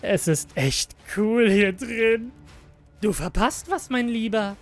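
A man's voice: high-pitched, animated, takes it slowly, soft background music, pitched above the performer's own voice, full character voice. Guy doing a creepy monster pretending to be a dad, taunting, drawn out, sinister, horror story style. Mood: mocking, coaxing. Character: sinister shapeshifting monster posing as a father, mockingly inviting.

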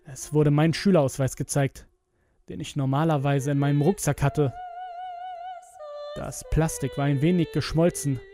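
Higher-voiced man narrating horror over music. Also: fairly even intonation, normal speed, pauses often, mellow. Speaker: narrator of horror audio stories. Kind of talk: dramatic scripted horror story narration. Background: music with strings.

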